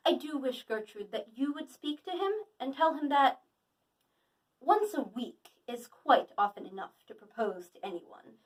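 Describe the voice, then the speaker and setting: A woman's voice: high-pitched, expressive, unhurried, far from the mic, rough recording. A woman playing a flippant, exasperated young society woman in a theatrical Victorian comedy monologue, arch and emphatic. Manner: arch, emphatic, mock-indignant.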